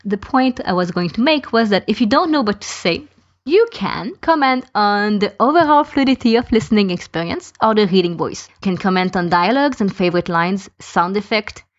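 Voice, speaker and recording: A woman's voice: mid-range voice, lively intonation, moderate pace. A woman in a casual podcast discussion, giving her opinions and explaining them through lists of examples. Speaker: podcast speaker, fan podficker. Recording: dull lo-fi voice recording.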